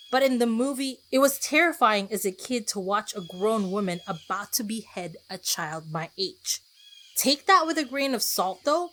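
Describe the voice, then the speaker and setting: A woman podcast host giving a partly scripted solo review, with expressive delivery and emphatic starts to her phrases. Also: medium pitch.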